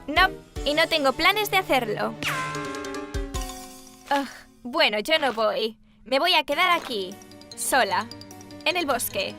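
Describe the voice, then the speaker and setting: A woman voicing a timid, grumbling young princess in an animated children's dub, dramatic and whiny, with an accent from Spain. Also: sits high, takes it slowly, long pauses, full character voice. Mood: reluctant, fearful. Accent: Spanish from Spain. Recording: music under the dialogue.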